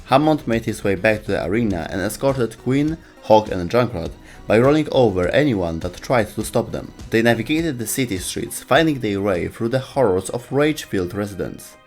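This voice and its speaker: A man's voice: on the low side, lively intonation, normal speed, darkish tone, soft background music. A man narrating a scripted video game lore explainer as its host.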